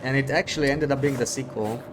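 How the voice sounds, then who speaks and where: A man streams a game and chats casually with his viewers. His voice is mid-pitched and easygoing. He thinks aloud into a desk mic and often restarts his sentences. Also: fast talker, background noise. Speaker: live streamer. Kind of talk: casual gameplay chat, reading viewer comments.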